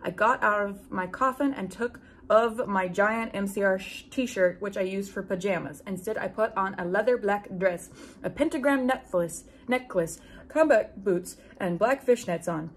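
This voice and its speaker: A young woman hosting a video from a room at home. Her voice sits at a medium pitch, and she talks in an informal, wry way with animated delivery, whether chatting or reading aloud. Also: normal speed, a little hiss or hum. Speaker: YouTube host. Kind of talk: casual chat and reading fan fiction aloud with asides. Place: small room at home with bookshelves.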